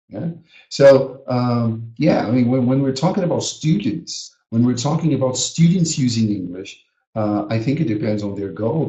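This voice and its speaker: A man's voice: mid-range voice, dark tone, highs cut off. Brazilian man teaching pronunciation, echoey. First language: Portuguese. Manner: earnest, emphatic, repeats words to drill pronunciation. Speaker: teacher educator. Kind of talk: live webinar lesson. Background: a small child heard now and then.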